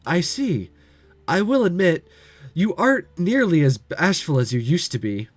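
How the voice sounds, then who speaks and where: A man reading the lines of a blunt, teasing young woman, a childhood friend, in a calm, dry way. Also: on the high side, a little slow, no echo.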